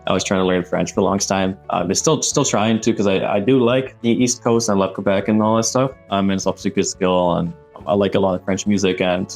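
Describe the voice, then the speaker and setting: Hombre joven de voz grave, charla titubeante con muchas muletillas y risas, música suave de fondo. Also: male voice, fairly low pitch, gravelly, darkish tone. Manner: hesitant, full of fillers, laughs often. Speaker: podcast co-host. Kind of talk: casual podcast chat.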